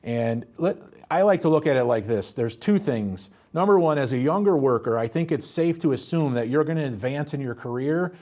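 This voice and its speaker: A man's voice: mid-range voice, moderate pace, hardly any pauses. Man explaining retirement taxes. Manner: steady, explanatory, walks through number examples. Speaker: financial advisor. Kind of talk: explaining retirement tax planning.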